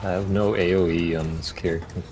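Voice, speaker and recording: A man's voice: low-pitched, fairly even intonation, a little fast, background noise. A young man chatting casually as a co-player in a let's play. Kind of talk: casual chat while gaming.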